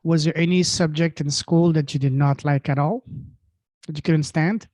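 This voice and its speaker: A man's voice: fairly high pitch, steady tone, normal speed, darkish tone, no room sound, studio quality. A man from France with a French accent, French as his first language, hosting a podcast interview and asking questions. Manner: eager, affirming interjections. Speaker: podcast host.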